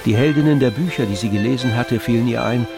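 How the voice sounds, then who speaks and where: A man narrating a literary radio play in measured, scripted storytelling. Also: mid-range voice, gravelly, music in the background.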